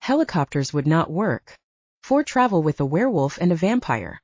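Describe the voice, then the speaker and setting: Expressive woman narrating an audiobook. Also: low voice, moderate pace, dry recording. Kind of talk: first-person audiobook narration. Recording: dull dry audiobook recording.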